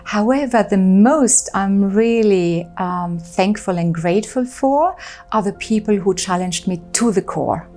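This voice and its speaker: A woman's voice: medium pitch, dark tone. Thoughtful German-born woman guest. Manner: thoughtful, pauses with um and uh. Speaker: guest, corporate trainer. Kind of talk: interview answers.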